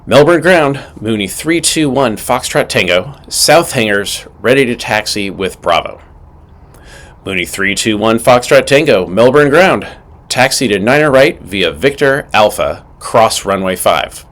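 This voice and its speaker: Male instructor, lower register, lively intonation, scripted and methodical teaching read with clear emphasis. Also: unhurried. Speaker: aviation instructor. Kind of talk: scripted instructional narration with acted radio call examples.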